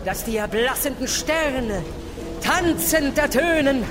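High, slow, ecstatic oracle. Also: female voice, expressive. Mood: rapturous. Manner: declamatory, drawn-out, incantatory. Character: ecstatic oracle reciting apocalyptic verse. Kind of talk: radio drama verse recitation. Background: music.

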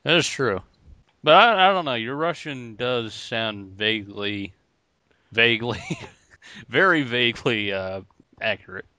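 A man's voice: medium pitch, expressive, a little slow, bright, forceful tone, smooth, no echo. A man co-hosting a podcast in casual joking banter, laughing often. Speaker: podcast co-host. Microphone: podcast mic.

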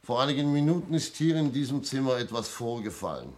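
An actor playing a stern, courteous, methodical police inspector in a 1960s German radio play, formal, measured and politely firm. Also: male voice, medium pitch, big pitch swings, a little mellow, lightly acted. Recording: faintly noisy 1960s radio recording.

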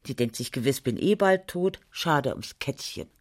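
An actress in a German radio play voicing a gossipy, reassuring medical assistant with a knowing, singsong delivery. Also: female voice, rumbling low, expressive, moderate pace. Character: soothing, gossipy female medical assistant, matter-of-fact.

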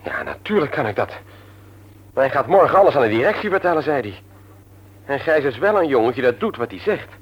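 An actor playing a stern, patronising man in authority in a radio drama, lecturing emphatically in a husky voice. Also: mid-range voice, very animated, brisk, muffled recording. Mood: worried. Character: stern, patronising older brother and works supervisor, adult man.

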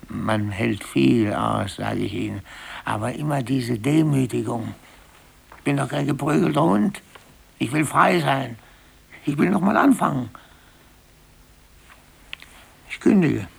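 An actor in a 1950s German radio play, playing an older, humiliated office clerk with a gravelly, husky voice and a brooding, halting manner. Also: male voice, medium pitch, heavily acted. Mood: bitter, resolved.

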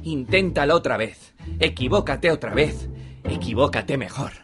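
An actor with an accent from Spain playing a deferential, earnest, philosophising sidekick in a comic radio drama. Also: male voice, mid-range voice, lively intonation, normal speed, close to an everyday voice. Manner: polite, earnest, literal-minded. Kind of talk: comic radio fiction acting. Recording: music and crowd noise behind.

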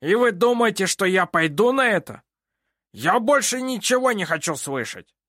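A man's voice: a little high, sing-song, measured pace, a bit bright, dry recording, clean studio sound, pitched above the performer's own voice, heavily acted. A man voicing a haughty, furious older male judge in an audiobook, barking and blustering. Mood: raging.

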